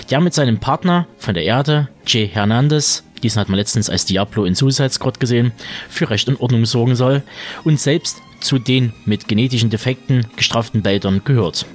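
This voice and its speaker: Male podcast host over music. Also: mid-range voice. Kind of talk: scripted narration of series news. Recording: music bed under the voice.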